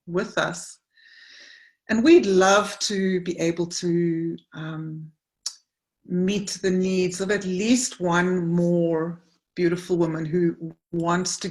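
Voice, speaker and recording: A woman from South Africa hosting a women's retreat circle on a video call, gentle and earnest, often restarting her sentences. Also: fairly low pitch. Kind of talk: informal online circle chat.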